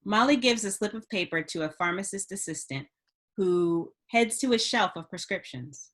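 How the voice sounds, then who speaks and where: A woman's voice: mid-range voice, lively intonation, moderate pace. A woman narrating stage directions at a script table read.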